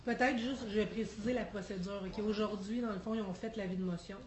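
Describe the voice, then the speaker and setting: A woman's voice: medium pitch, steady tone, fast talker, a little mellow. A woman explaining meeting procedure in plain, informal terms. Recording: echoey room with faint noise.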